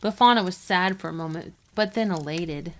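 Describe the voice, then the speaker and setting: A woman's voice: fairly low pitch, moderate pace. A woman narrating a legend in the style of a storyteller. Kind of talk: scripted narration. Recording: music under the voice.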